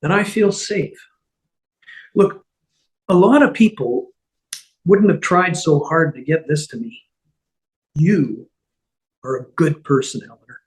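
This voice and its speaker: A man playing a mild, grateful father in a comedy table read, gentle and halting. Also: fairly high pitch, rough recording. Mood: grateful, sincere.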